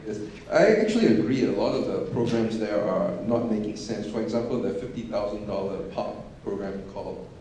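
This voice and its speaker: A man's voice: lowish voice, brisk, hardly any pauses, warm tone, slight background noise. A man speaking matter-of-factly as a panelist, with frequent restarts and fillers. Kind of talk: panel discussion. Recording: echoey hall, distant mic.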